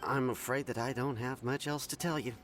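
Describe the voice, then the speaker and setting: A man's voice: mid-range voice, steady tone, brisk, over music, lightly acted. A man playing a chatty, slightly nervous townsman in a game, speaking conversationally with stammers. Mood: musing. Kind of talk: game voice acting.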